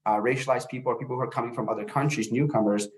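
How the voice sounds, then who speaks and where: A middle-aged man, born in El Salvador and raised in North America, giving an informal webinar presentation in a halting way, often repeating words as he goes. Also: mid-range voice, steady tone. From born in El Salvador, grew up in North America. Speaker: webinar presenter, youth services manager.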